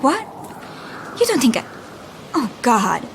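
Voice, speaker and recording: A woman playing a troubled, guilt-ridden young bride in an audio drama, intense and halting, often dropping to whispers. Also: fairly high pitch, big pitch swings, noisy background, full character voice. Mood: anguished, fearful. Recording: clear ambient sound behind voice.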